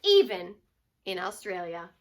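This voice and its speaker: A woman's voice: lowish voice, sing-song, measured pace. A woman reading a children's picture book aloud as a teacher would, expressively and with dramatic emphasis on key words.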